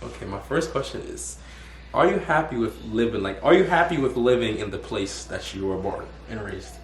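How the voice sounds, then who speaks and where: A man's voice: medium pitch, animated, normal speed, a little hiss or hum. Rambling young man hosting. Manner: rambling, restarts and repeats words. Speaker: host. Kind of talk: casual interview. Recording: echoey room.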